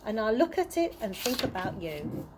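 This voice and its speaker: A woman's voice: mid-range voice, very animated, normal speed, background noise, lightly acted. A woman voicing a shy, eager, tender-hearted young girl in a children's storybook, speaking sincerely.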